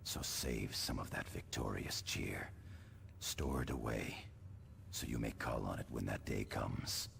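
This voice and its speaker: An actor playing a grim, morbid veteran space marine sergeant in a video game, gravelly and solemn. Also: male voice, extremely low pitch, a little slow, fairly bright tone, a little hiss or hum, exaggerated voice. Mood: grim, fatalistic. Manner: solemn, fatalistic.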